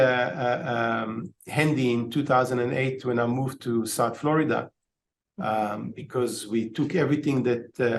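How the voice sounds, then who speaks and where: A man's voice: medium pitch, slight background noise. A man in his fifties from Israel, talking as a guest over a video call, telling stories with many ums and restarts. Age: 50s. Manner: storytelling, frequent ums and restarts. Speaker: guest, IT company owner. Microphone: laptop webcam on video call.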